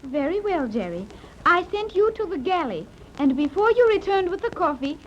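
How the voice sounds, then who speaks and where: Very high-voiced prim girl. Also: big pitch swings, normal speed. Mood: prim, complaining. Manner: formal, uncontracted phrasing. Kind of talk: 1930s radio serial acting. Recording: dull, noisy vintage radio recording.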